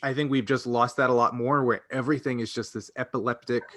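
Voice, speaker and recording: A man's voice: mid-range voice, steady tone, fast, a bit bright, no echo, studio quality. A man co-hosting a casual fan discussion, speaking earnestly and often rephrasing himself mid-thought. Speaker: podcast co-host.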